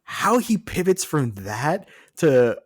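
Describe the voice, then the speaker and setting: A man's voice: fairly high pitch, very animated, takes it slowly, no room sound. A man co-hosting a podcast, chatting casually about entertainment in a jokey, exclaiming storyteller's way. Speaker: podcast co-host. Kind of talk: casual film and TV news chat.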